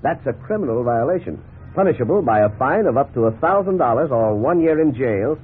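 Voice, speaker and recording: An actor playing a calm, authoritative attorney in a 1950s radio drama, explaining things in a measured, formal way. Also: male voice, medium pitch, husky, soft background music. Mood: calm, firm. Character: calm, authoritative attorney advising his clients. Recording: noisy, tinny 1950s radio recording.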